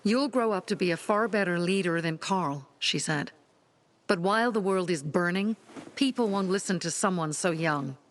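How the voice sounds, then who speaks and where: A woman's voice: fairly low pitch, moderate pace, slight background noise, natural voice. A woman voicing a determined young female resistance leader in a video game, speaking earnestly and resolutely. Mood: reflective. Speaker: settlement leader.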